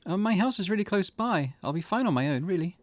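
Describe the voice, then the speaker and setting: Man voicing an anxious, hesitant schoolgirl, lively pitch. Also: no echo. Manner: hesitant, halting. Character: anxious, earnest teenage schoolgirl.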